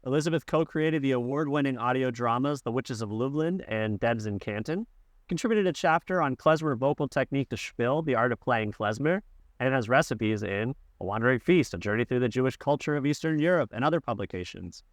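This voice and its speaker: A man co-hosting a podcast, reading a guest introduction in a steady scripted way. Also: medium pitch, a little fast, dry recording, studio quality. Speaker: podcast co-host.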